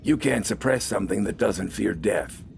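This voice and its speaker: A man's voice: lowish voice, moderate pace, creaky. A battle-weary corporal, played by a male narrator, barking and sardonic. He speaks with scornful irony in a science fiction audiobook, with faint music underneath. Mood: exasperated. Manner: sardonic, heavily ironic, barking.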